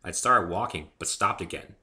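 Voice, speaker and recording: A man's voice: sits low, moderate pace, sharp tone. A man giving an amateur dramatic reading of a first-person story as its narrator.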